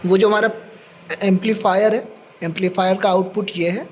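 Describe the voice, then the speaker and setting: A man's voice: high voice, fairly even intonation, moderate pace, slight background noise. A man presenting a practical tech demonstration step by step, repeating points and mixing English terms into his speech.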